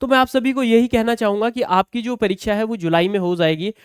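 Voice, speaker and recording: A man's voice: sits high, a little fast, smooth, dry recording, clean studio sound. A man explaining exam news to students as a coaching teacher, conversational and often checking in with short tag questions.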